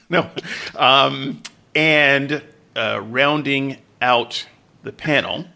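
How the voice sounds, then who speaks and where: A man hosts a casual podcast panel, his voice bright and forward at an ordinary middle pitch. He jokes his way through the introductions, leaning on plenty of "uh" fillers. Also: drawn-out words, creaky. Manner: jokey, loose introductions with many fillers. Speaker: podcast host and moderator.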